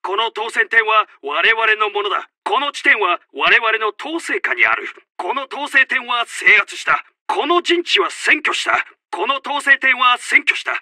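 An actor playing a commanding, urgent Japanese army officer who barks out short battle announcements in a military video game. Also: male voice, sits high, sing-song, unhurried, few pauses, bright, forceful tone, no room sound, clean studio sound, exaggerated voice. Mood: commanding, proud.

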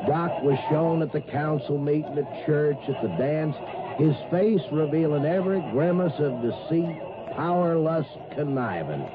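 A man's voice: on the high side, a little slow, crowd noise, natural voice. An actor playing a plain-spoken, decent small-town man in a 1950s radio drama, with a folksy delivery. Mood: storytelling. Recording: tinny 1950s radio, music underneath.

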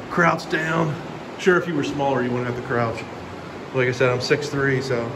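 A man's voice: mid-range voice, noisy background. A young man casually narrating a hike through a cave, rambling with lots of fillers, filmed on a handheld camera. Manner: relaxed, rambling, filler-heavy commentary. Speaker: hiking vlogger. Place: inside a cave. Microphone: handheld camera held by the speaker.

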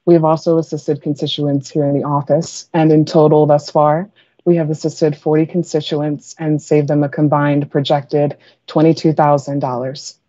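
A woman's voice: low voice, level tone, moderate pace, warm tone, dry recording. A young woman, a staffer, giving a prepared presentation over a video call from an office. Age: young adult. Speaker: legislative staffer. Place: office with storage shelves. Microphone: wired earphones on video call. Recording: slightly dull video call audio.

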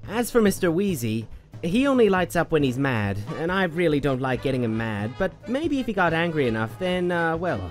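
A man's voice: a little high, sing-song, normal speed, faint music behind. A man playing a polite, nervous young cup brother in a cartoon comic dub, earnest and hesitant. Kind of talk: comic dub character acting.

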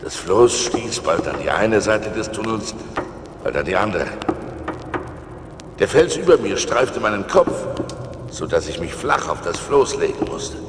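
An actor playing a male seafarer who tells his adventures in a slow, theatrical radio-play style, with dramatic swings, on an old vinyl record. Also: fairly low pitch, noisy background. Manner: dramatic, slow, swinging between hushed and exclaiming.

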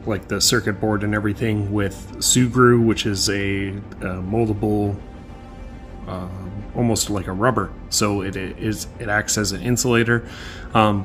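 A man giving a casual hands-on explanation in a halting, thinking-aloud way. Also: lowish voice, fairly bright tone. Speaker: hobbyist tutorial maker.

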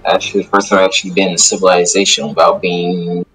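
A man plays a vengeful but apologetic demon who keeps to himself. The voice is low and wary, halting and doubling back on phrases, in an improvised anime game roleplay. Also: level tone, fairly bright tone, faint music behind, low-quality recording. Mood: hesitant, wary. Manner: halting, repeats his phrases.

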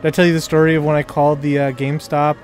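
A man's voice: fairly high pitch, little pitch movement, rapid-fire, a little mellow. A man chatting casually and jokingly as he plays a video game, rambling along. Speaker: gamer. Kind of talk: casual co-op gaming chat. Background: game music.

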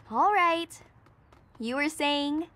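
A woman voicing a practical, sharp-tongued young girl with a sarcastic, exasperated manner in a cartoon game. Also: high voice, extremely slow, heavily acted. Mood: sardonic. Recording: music behind.

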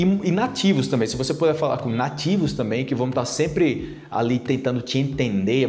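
A Brazilian man in his thirties teaching a casual video lesson to camera on a lapel mic, animated and chatty, tagging his sentences with little questions. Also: medium pitch, roomy sound, a little hiss or hum. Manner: animated, gesturing a lot with his hands; chatty, with many tag questions. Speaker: Portuguese teacher. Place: plain-walled room indoors.

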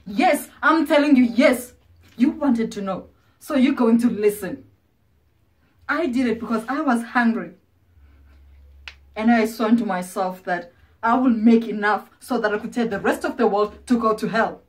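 An actress playing a proud, bitter ageing former prostitute, wounded and defiant, in a confrontational stage monologue with pointed pauses. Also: female voice, a little high, lightly acted. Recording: distant microphone.

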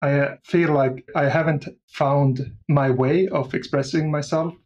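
A man's voice: on the high side, fairly even intonation, unhurried, a little mellow, highs cut off. A man speaking casually as an interview guest about his creative work. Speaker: podcast guest, audio drama creator.

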